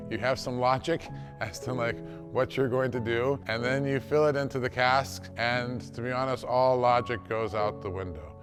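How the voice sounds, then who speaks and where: American man, whiskey maker, thoughtful and measured, mid pitch, clear music bed behind. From Portland, Oregon, USA. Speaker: distillery's first employee, whiskey maker. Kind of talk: documentary interview. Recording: interview with clear music underneath.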